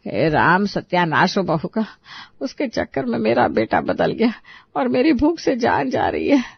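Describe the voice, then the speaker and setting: A woman's voice: on the high side, brisk, dry recording, dull audio, natural voice. A woman voicing an irritable, scolding older mother-in-law and grandmother in a dramatised story. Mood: grumbling. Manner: sharp, nagging, indignant.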